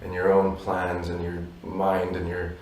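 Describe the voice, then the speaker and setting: Brief: man, 30s, deep and even, reflective musician guest, roomy and off-mic. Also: a little slow, slight background noise. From abroad, Polish parents. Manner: reflective, many "you know" fillers. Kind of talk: relaxed interview answers. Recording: roomy echo from a distance.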